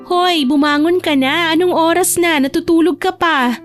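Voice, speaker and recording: A woman's voice: very high voice, moderate pace, faint music behind, pitched above the performer's own voice. A woman voicing a bossy older female cousin in a romance audio drama, nagging in a playful, teasing way.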